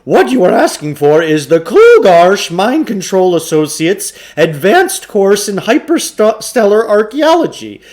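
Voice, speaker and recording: A man voicing a pompous, flattering huckster shopkeeper from a storybook, in theatrical salesman patter with hesitant asides. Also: high-pitched, voice pushed up. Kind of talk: storybook character voice.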